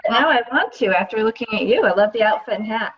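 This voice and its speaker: A woman's voice: fairly high pitch, lively intonation, fast talker, very noisy. A woman chatting casually as the guest reader on a show. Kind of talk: casual conversation. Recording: dull, lo-fi sound.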